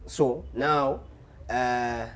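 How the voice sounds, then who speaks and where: A man's voice: mid-range voice, fairly even intonation, very slow, slight background noise. A young man with a South African accent hosting a casual podcast, playful and teasing, switching between languages as he asks questions. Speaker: podcast host. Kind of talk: casual interview chat.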